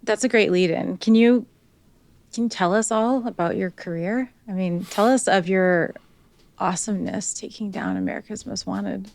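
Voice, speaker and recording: A woman's voice: mid-range voice, moderate pace, vocal fry, darkish tone. A woman hosting an interview podcast, speaking in an earnest, reflective way. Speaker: podcast host. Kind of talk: interview questions.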